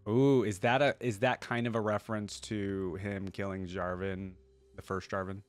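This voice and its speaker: Low-voiced man doing casual reaction commentary. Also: level tone, normal speed. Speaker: reaction video host.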